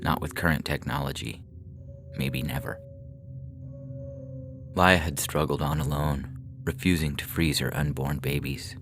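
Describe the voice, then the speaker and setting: A man with an extremely low voice narrates a short story in a measured, dramatic way. Music plays behind his literary reading. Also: gravelly.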